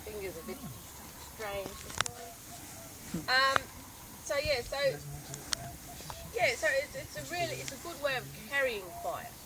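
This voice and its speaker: An Australian woman park ranger explaining things on a guided walk in the bush, unhurried and a little hoarse, pausing with ums and restarting her sentences. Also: a little high, lively intonation. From northern Australia. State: slightly hoarse, losing her voice. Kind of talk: outdoor guided demonstration. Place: outdoors in the bush. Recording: outdoor recording with clear natural background noise.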